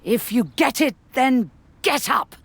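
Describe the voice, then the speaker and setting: An actress playing a scolding, vengeful older mother-in-law in a video game, blunt and sharp-tongued. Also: high voice, slow pace, creaky, husky, no room sound, heavily acted. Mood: commanding. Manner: scolding, blunt, swooping between snapping and grieving.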